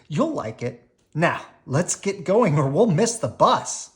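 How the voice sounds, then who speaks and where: A woman's voice: deep voice, very animated, takes it slowly, pitched above the performer's own voice, full character voice. A woman voicing a confident, matter-of-fact young boy bear, a plain-spoken big brother in a storybook. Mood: reassuring.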